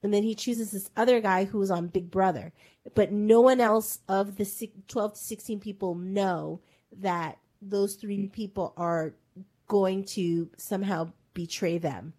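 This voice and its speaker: A woman's voice: mid-range voice, fairly even intonation, a little slow, dark tone. Rambling, chatty woman recapping a show. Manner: rambling storytelling full of "like" and "um". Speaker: podcast co-host. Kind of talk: casual pop-culture chat.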